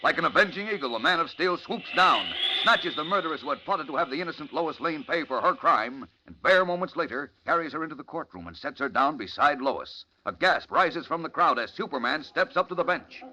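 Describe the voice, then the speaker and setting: A man's voice: on the high side, expressive, a little fast, hardly any pauses, bright, forceful tone. A man narrating an old radio serial in a dramatic, urgent manner. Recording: muffled, noisy old radio recording.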